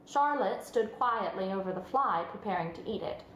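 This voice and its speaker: A woman narrating a children's story aloud in an expressive storybook style. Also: medium pitch, moderate pace, slight background noise. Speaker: storybook reader. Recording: roomy sound with music underneath.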